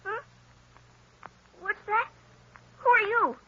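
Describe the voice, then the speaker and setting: A woman's voice: extremely high pitch, takes it slowly. A scared, tearful little boy. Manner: tearful, pleading. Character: frightened little boy lost in the dark. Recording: lo-fi 1930s radio broadcast.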